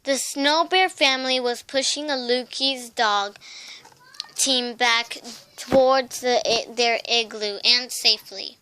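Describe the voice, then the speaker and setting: A woman's voice: fairly high pitch, slow pace, pushed and bright, smooth. A young woman reading a children's storybook aloud, carefully, occasionally stumbling and repeating words. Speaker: storybook reader.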